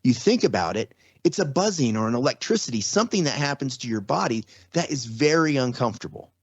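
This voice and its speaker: A man's voice: medium pitch, lively intonation, quick pace, breathy edge, no room sound, lo-fi sound. A man talking casually as an interview guest, explaining things with repeated, restarted phrases and frequent rhetorical check-ins. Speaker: podcast guest, trauma survivor and former security contractor.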